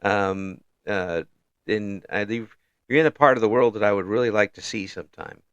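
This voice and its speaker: Low-voiced, halting male interview host. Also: a bit bright, clean tone, no room sound, highs cut off. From Illinois, USA. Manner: halting, many restarts and chuckles. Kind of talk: casual online interview.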